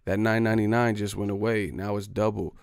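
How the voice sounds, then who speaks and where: A man's voice: on the low side, flat delivery, fairly quick, gravelly, smooth, no echo. A man ranting on a livestream in a boastful, profane, streetwise way. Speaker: boxing YouTuber. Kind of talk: livestream rant.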